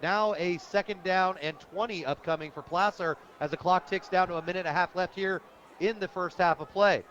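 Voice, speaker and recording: High-pitched American football play-by-play man. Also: brisk, fairly bright tone. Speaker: play-by-play radio announcer. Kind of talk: live football play-by-play commentary. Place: stadium press booth, radio broadcast. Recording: muffled broadcast audio, outdoor stadium noise.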